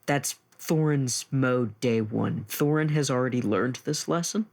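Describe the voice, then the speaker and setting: Male actual-play podcaster, gravelly with some fry, earnest and considerate, improvising in character. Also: mid-range voice, a little slow, darkish tone. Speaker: tabletop role-play podcast player. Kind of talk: in-character improvised role-play and casual table talk.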